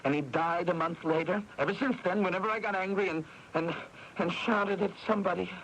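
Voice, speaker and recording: High-pitched, tormented son, noisy radio recording. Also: male voice, a bit bright. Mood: tormented, despairing. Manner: agitated, repetitive, halting, accusatory. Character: tormented, guilt-ridden adult son with a fierce temper. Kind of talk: 1980s radio drama acting.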